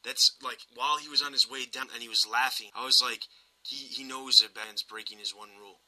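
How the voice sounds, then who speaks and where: A man with a sharp, very bright voice at an average pitch. He hosts a podcast and talks film casually, pressing his opinions with emphasis. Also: fairly even intonation, brisk, poor audio. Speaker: podcast host.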